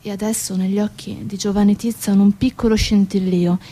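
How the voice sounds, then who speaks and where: A woman's voice: medium pitch, little pitch movement, a little fast, warm tone. A woman narrating a dramatic scripted story on stage, solemn, in short weighted phrases. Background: music.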